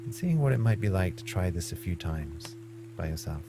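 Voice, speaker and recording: A man leading a guided mindfulness meditation, giving gentle, measured instructions with frequent pauses. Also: low-pitched, very animated, creaky, noisy background.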